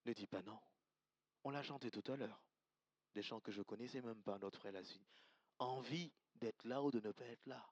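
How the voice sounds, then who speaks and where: A man's voice: mid-range voice, normal speed, no echo, dull audio. A man from Côte d'Ivoire, preaching an earnest, emphatic sermon to his congregation and repeating key lines. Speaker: pastor. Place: church service.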